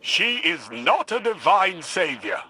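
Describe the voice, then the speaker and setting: Slow, deadpan stern veteran soldier. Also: male voice, high-pitched, husky, exaggerated voice. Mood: dry, exasperated. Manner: deadpan, exasperated. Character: dry, stern, reluctant veteran soldier, male. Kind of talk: video game dialogue.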